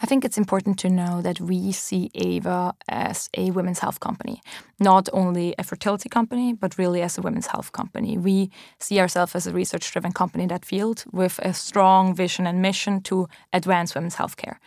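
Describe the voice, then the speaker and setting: A young woman in her twenties speaks with a German accent, in a slightly low voice. She answers interview questions earnestly and thoughtfully, pausing on ums and restarting her sentences. The sound is dry and close. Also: level tone, creaky, darkish tone, no room sound. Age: 20s. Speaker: company founder.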